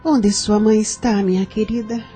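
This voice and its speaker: Woman as tender grandmother, middle pitch, gentle, sorrowful, audio novel, prominent music. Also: fairly even intonation, normal speed, natural voice. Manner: gentle, caring. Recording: clear music behind the voice.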